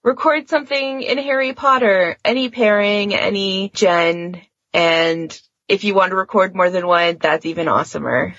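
A woman's voice: medium pitch, moderate pace, a bit bright, no echo, dull audio. A woman co-hosting a fan podcast, chatting in an informal, easygoing way. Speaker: podcast host. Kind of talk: casual fandom podcast chat.

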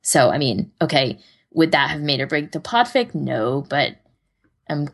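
A woman with a low-pitched voice talks casually as a podcast co-host. She rambles along conversationally and pokes fun at herself as she goes. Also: big pitch swings, a little slow, gravelly. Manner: rambling, self-deprecating.

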